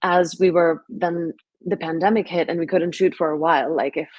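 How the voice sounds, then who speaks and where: A woman's voice: lowish voice, steady tone, moderate pace, husky, no room sound, highs cut off. A young woman from Lebanon, a filmmaker answering interview questions in a reflective way, with many fillers and restarts. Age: young adult. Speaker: filmmaker guest.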